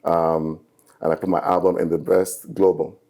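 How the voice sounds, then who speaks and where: A middle-aged man from Nigeria, a native Yoruba speaker, with a very low, gravelly voice and a mellow, dark tone. He talks in earnest, upbeat phrases, measured and reflective. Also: level tone. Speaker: musician guest. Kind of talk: reflective interview talk.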